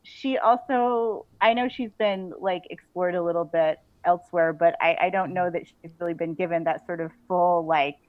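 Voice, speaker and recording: A woman with a fairly low voice talks as an interview guest in a casual, enthusiastic way. Her audio is narrow and phone-like, with very little room sound around it. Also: steady tone, moderate pace, few pauses, no echo. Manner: enthusiastic, informal.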